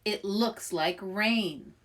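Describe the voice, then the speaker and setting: A woman's voice: medium pitch, extremely slow, fairly bright tone, slight background noise. A woman voicing a big male brown bear in a children's storybook, a patient, skeptical friend with a plain, mild manner. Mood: matter-of-fact.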